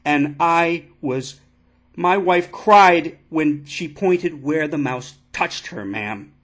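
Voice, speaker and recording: High-pitched aggrieved dad , acted by a man. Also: fairly bright tone. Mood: solemn, insistent. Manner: halting, repetitive, insistent, addressing listener as ma'am. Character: aggrieved, indignant father lodging an absurd complaint. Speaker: prank caller. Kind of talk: prank phone call, mock complaint.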